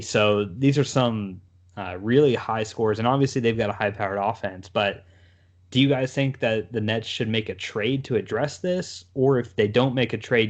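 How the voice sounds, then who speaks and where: A man hosting a casual sports discussion, conversationally setting up topics and putting questions to his co-hosts. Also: lowish voice. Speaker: sports podcast host.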